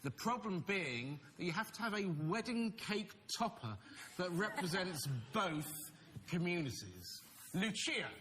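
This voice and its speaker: A man on a comedy panel show delivering a deadpan mock sales pitch. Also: a little slow. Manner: deadpan mock salesman, laughing at own jokes. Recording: noisy studio with audience.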